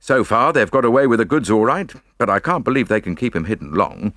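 A man voicing a brisk, cheerful police inspector, blunt, chatty and sure of himself, in an audiobook. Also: low-pitched, very animated, a bit bright, no room sound, studio quality, full character voice.

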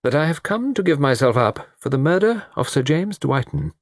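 A suave young foreign gentleman from an audiobook, performed by a man. He speaks with theatrical ease and light mocking defiance, pitched up and very animated. The recording is dry. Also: moderate pace, no echo. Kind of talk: audiobook character dialogue.

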